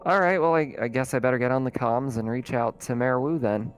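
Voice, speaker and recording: A man's voice: medium pitch, faint music behind, close to an everyday voice. Man playing a hesitant, needy colony official. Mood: resigned. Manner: hesitant, halting requests. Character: weary, needy local colony official angling for supplies.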